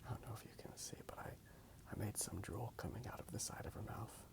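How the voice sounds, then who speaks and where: A man speaks in a half-whispered ASMR murmur with heavy vocal fry. He rambles hesitantly, often trailing off, as he hosts a casual drawing video outdoors on a handheld phone. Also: lowish voice, fairly even intonation, brisk. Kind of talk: soft-spoken ASMR rambling. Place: outdoors in a park.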